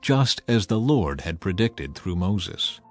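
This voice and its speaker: A man's voice: deep voice, very animated, slow, soft background music. A man narrating a dramatized scripture audiobook in a solemn, emphatic storytelling manner.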